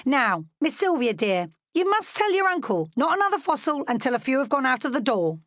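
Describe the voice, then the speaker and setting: A woman reader plays a bossy British nursemaid in a storybook. The voice is pitched up, firm and no-nonsense, like someone used to giving orders, on a dry recording. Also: sing-song, fairly quick, fairly bright tone, no echo.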